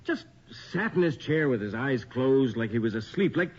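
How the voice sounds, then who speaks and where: Inquisitive investigator, very animated intonation. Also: male voice, mid-range voice, moderate pace, breathy edge. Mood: wry, indignant. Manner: expressive, intent, questioning. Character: inquisitive, wry, uneasy male investigator. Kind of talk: 1980s radio horror drama acting. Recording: dull, noisy old radio recording.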